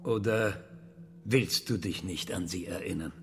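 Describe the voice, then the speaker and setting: A man's voice: sits low, unhurried, soft background music. An actor in a radio drama playing an ironic, cranky male scientist, hesitant and musing with dry sarcasm. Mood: insistent, probing. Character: cranky, ironic maverick male scientist, passionate about research.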